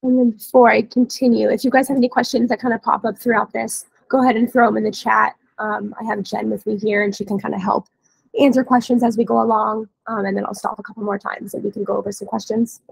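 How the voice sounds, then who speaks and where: Female webinar trainer, thirties. Scratchy, heavily creaky voice with a cold, even pitch, informal and explanatory, close headset sound. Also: quick pace, no room sound. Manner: casual, explanatory. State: hoarse from a cold. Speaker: webinar trainer, dealer support staff. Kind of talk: informal software training walkthrough. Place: indoor room, on a video call.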